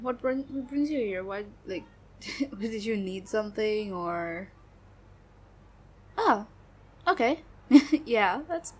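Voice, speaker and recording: A sad young woman who hides her hurt behind a halting, unhurried way of talking, trailing off as she goes, played by a woman in an audio roleplay. Her speech is slow and hesitant, with nervous little laughs. A faint background noise sits under her voice. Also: medium pitch, lightly acted. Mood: forced cheer. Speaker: voice actor.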